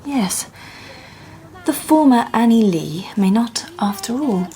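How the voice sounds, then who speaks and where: An actress playing a shrewd, composed Victorian woman detective who narrates the story, with soft, measured diction. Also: a little high, expressive, slight background noise. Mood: calm. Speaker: lady detective narrating and speaking. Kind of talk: radio drama narration and dialogue.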